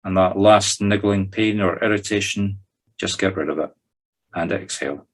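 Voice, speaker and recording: A man's voice: low-pitched, normal speed. A Scottish man leading a guided meditation, talking listeners through each step in an informal, conversational way. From Scotland. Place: recorded in a group video call.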